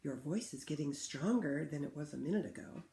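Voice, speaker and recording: A woman reading a picture book aloud to children in an expressive, teacherly way, pausing to ask them questions. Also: deep voice, vocal fry. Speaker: read-aloud host for children. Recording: roomy, echoing room sound.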